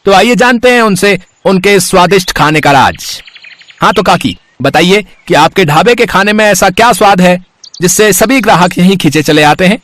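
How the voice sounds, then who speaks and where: A man's voice: sits high, lively intonation, moderate pace, fairly bright tone, no echo. A man voicing an eager TV news reporter in a cartoon moral story. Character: eager TV news reporter at a village food stall.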